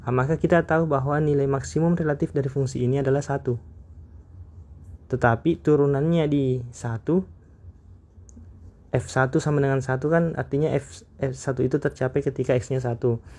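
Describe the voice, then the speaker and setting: A man lectures on calculus, his voice warm and mellow, sitting at an ordinary middle pitch. He explains carefully and methodically, often pausing with small hesitation sounds before going on. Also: fairly even intonation. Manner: measured, explanatory, frequent hesitation fillers. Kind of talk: recorded math lecture.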